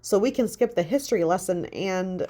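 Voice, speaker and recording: A woman voicing a spunky, ambitious young girl who talks briskly and impatiently. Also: mid-range voice, warm tone, close to an everyday voice. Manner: brisk, impatient, self-assured.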